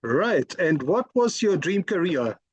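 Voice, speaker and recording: Lively, husky male interview host. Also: sits high, slow pace, dry recording. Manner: polite, friendly questioning. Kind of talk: interview questions.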